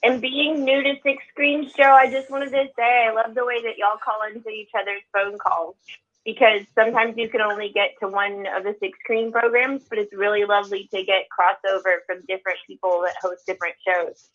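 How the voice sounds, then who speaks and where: A woman in her forties on a group call, earnest and apologetic, halting with many fillers. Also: mid-range voice, flat delivery, a bit bright. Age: 40s. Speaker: participant. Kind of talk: casual call-in chat. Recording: muffled phone-line audio.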